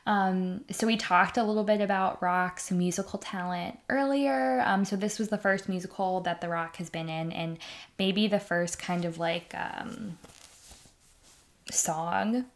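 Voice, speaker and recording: A woman's voice: medium pitch, unhurried. A woman co-hosting a movie podcast, talking casually with lots of ums and light laughs. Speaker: podcast co-host.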